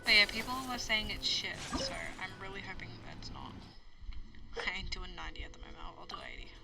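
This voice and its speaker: Gravelly casual woman streamer, slightly distant. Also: medium pitch, fast. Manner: informal, rambling, talking to herself. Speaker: game streamer. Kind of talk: casual live gaming commentary, thinking aloud. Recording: slightly distant mic, loud game music. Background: video game music.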